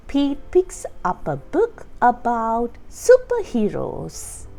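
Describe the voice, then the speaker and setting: A woman reading a children's storybook aloud as a storytime host, with expressive, deliberate phrasing for young listeners. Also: mid-range voice, vocal fry. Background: background music.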